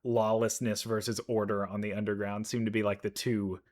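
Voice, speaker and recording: A man's voice: on the low side, little pitch movement, brisk, a little mellow. A man giving casual, unscripted commentary as host of a reaction video. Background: faint music.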